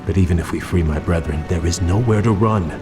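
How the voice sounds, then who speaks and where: An actor playing a proud, troubled young elf prince in a fantasy game, speaking in a grave, formal way. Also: male voice, low-pitched, brisk, close to an everyday voice. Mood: grave, resolute. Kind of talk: dramatic fantasy game voice acting. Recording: background music under the voice.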